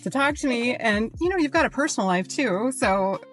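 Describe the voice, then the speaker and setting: A woman's voice: fairly high pitch, expressive, a little fast. A woman hosting a casual podcast interview, effusive and rambling. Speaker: podcast host.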